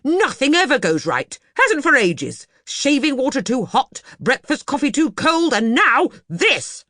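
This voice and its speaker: A woman's voice: high voice, very animated, unhurried, no room sound, studio quality, pitched above the performer's own voice, heavily acted. Woman acting out an irate, blustering father. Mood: cross, grumbling. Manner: shouting, indignant, emphatic. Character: irate, blustering middle-class father, grown man.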